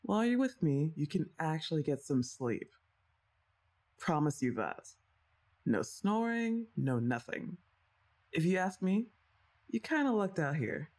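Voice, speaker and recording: A woman's voice: deep voice, sing-song, measured pace, long pauses, a little mellow, full character voice. Woman playing a chatty, teasing older sister. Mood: playful. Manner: chatty, teasing, laughs often. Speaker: voice actress. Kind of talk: ASMR roleplay addressed to the listener.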